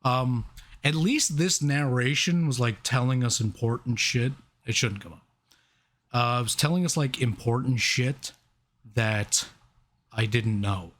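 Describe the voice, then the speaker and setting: A man's voice: mid-range voice, measured pace, fairly bright tone. A man co-hosting a casual movie review podcast, rambling and laughing often, swearing casually. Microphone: own camera setup.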